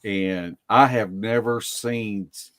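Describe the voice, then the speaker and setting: A man telling stories casually as a podcast guest, in a halting, deliberate way. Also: on the low side, fairly even intonation, fairly bright tone, no echo, clean studio sound. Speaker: podcast guest, Bigfoot investigator. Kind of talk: casual storytelling.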